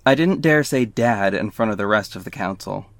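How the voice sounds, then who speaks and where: A man's voice: fairly low pitch, expressive, normal speed, no echo. Man as a wry confiding narrator, close and dry. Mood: guarded. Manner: confiding, snarky asides. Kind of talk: first-person scripted narration in audio drama.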